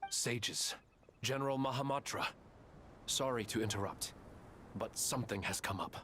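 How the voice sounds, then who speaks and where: A man's voice: mid-range voice, moderate pace, bright, forceful tone, slight background noise, natural voice. Mid-range male officer acted by a man, respectful, sober, formal, game acting, faint ambience behind. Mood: urgent, sober. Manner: formal, matter-of-fact reporting.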